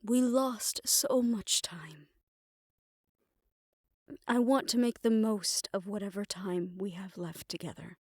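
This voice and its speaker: A woman playing a tender, world-weary lover in a fantasy audio roleplay, speaking in a measured, reflective and intimate way. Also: medium pitch, slightly rough, no echo, studio quality. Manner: measured, reflective, formal phrasing, soft hushed moments. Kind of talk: romantic audio roleplay, intimate scripted monologue.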